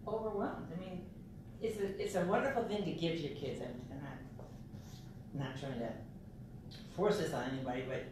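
Older woman, emphatic and loud, low-pitched, distant in an echoing hall. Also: noisy background. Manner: loud, emphatic, restarts often. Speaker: audience member. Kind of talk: casual group discussion. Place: church parish hall. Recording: echoey hall from far off.

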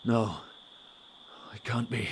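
A man's voice: medium pitch, slow, close to an everyday voice. An actor playing a shaken, principled male doctor in an outbreak audio drama, hesitant and protesting. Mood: disbelieving. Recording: noisy outdoor night ambience with crickets.

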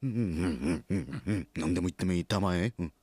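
A man's voice: deep voice, fast talker, a little mellow, husky, dry recording. An actor playing a sheepish, well-meaning middle-aged company president in a comedic radio drama, stammering and repeating his words when flustered. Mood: flustered denial.

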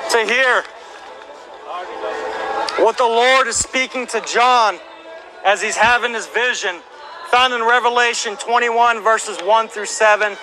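A man in his thirties preaching in the open air with a handheld microphone, reading scripture slowly and emphatically and rising to shouts. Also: extremely high pitch, noisy background. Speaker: street preacher. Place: outdoor plaza by historic buildings. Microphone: handheld microphone through a speaker.

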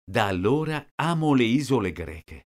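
A man slowly reading out short sentences, each one twice, for a language lesson. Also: mid-range voice, very animated. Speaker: language teacher. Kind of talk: slow repeated phrase reading.

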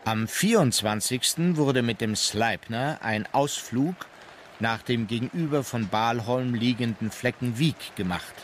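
An actor playing a sober naval officer who recalls a voyage in formal, measured radio-play narration. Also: male voice, medium pitch, a little hiss or hum, close to an everyday voice. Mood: composed, factual. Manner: formal, composed. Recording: music bed under the voice.

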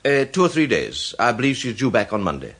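Radio drama polite, apologetic man, husky, with swooping intonation. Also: mid-range voice, wildly swooping pitch, fairly quick, fairly bright tone, full character voice. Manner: hesitant, apologetic. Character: polite, hesitant, earnest gentleman with a worry. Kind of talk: British radio drama acting.

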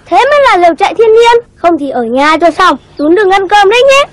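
A woman's voice: very high-pitched, sing-song, brisk. A woman voicing a cocky, scheming schoolboy in a cartoon, bossy, boastful and given to exclaiming. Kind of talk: cartoon voice acting.

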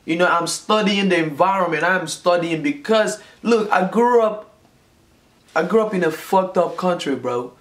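A man in his twenties from Nigeria tells a story straight to a camera in a small room at home. His voice sits a little high for a man. He talks in a lively, gesturing way and hammers his points home by repeating them. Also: normal speed. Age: 20s. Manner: animated storytelling, repeats phrases for emphasis, gestures a lot. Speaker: vlogger. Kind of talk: casual storytime vlog. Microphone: camera in front, close framing.

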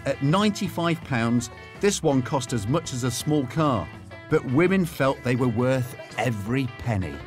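A man's voice: medium pitch, moderate pace. A male presenter, narrating a documentary and chatting with a curious, upbeat manner over music. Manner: curious, upbeat, lively delivery. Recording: music under the voice.

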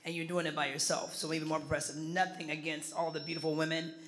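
Fast, bright-voiced woman in audience. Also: low-pitched, flat delivery, slight background noise. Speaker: audience member asking a question. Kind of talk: question from the floor. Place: large conference hall.

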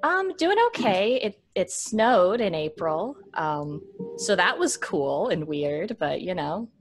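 A woman's voice: lowish voice, sing-song, takes it slowly, faint music behind. A young adult woman chatting casually on a video call with a wry manner. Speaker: podcast voice actor. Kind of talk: casual chat. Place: living room, video call.

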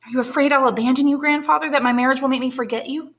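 A woman's voice: high voice, steady tone, rapid-fire, pitched above the performer's own voice. A woman reading aloud and voicing a young woman, an earnest and worried granddaughter pleading with her grandfather. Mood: anxious.

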